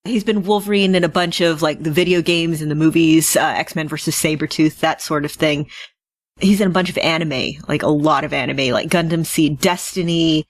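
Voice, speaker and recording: A woman's voice: low voice, fairly even intonation, moderate pace, no echo. A woman co-hosting a podcast in casual conversation. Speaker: podcast co-host. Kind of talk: casual chat.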